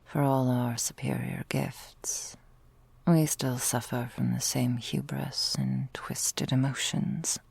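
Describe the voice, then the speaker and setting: A woman playing a loving, patient vampire girlfriend in a whispered ASMR roleplay, with soft breathy delivery and tender, languid, formal phrasing. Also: very deep voice, fairly even intonation, slow pace, dry recording, heavily acted. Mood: tender, devoted.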